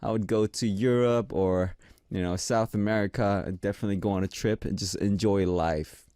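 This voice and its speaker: A young man from Korea, speaking English as a second language with a deep voice, hosting a casual radio show and chatting about listener messages. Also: moderate pace, clear, resonant tone, no echo, studio quality. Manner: relaxed, chatty, reads listener messages aloud.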